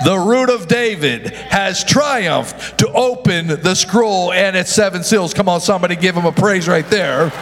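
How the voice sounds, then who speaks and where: Slow preaching pastor in echoing hall. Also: male voice, high voice, fairly bright tone. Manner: preaching with call-and-response to the congregation; stumbles and restarts, corrects himself aloud. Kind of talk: sermon. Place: church sanctuary. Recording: long echo of a large hall.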